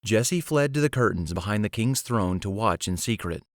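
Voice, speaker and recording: A man's voice: on the low side, lively intonation, normal speed, dry recording, clean studio sound. A man reading scripted fantasy storybook narration as an audiobook narrator.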